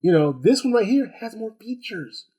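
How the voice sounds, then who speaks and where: A man's voice: high voice, big pitch swings, normal speed, warm tone, slightly rough. A man giving a casual, unscripted product review, rambling and repeating words as he goes, with chatty rhetorical asides. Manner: chatty, rhetorical asides and check-ins like "right?". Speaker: gadget review host.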